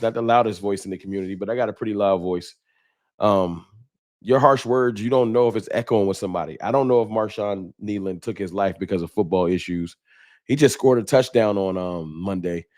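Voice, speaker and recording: An American man with a fairly low voice hosts a fan livestream. He talks informally and candidly, addressing his viewers directly in colloquial speech. The sound is close and dry. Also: darkish tone, no room sound. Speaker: livestream host, sports fan content creator. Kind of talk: casual livestream talk to viewers, reading chat.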